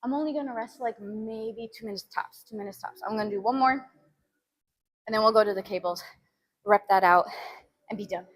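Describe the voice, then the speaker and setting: A woman chatting casually with live viewers as a fitness stream host, giggly and animated as she talks between sets. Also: medium pitch, moderate pace, pauses often. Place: gym, during a workout.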